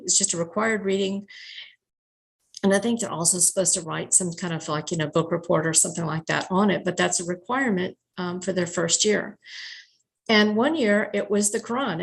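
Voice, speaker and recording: A woman's voice: medium pitch, poor audio. A native English-speaking woman giving an informal talk on religious teachings, working through a numbered list in a conversational way with frequent fillers. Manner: conversational, many fillers, enumerating points. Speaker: speaker explaining Quran teachings.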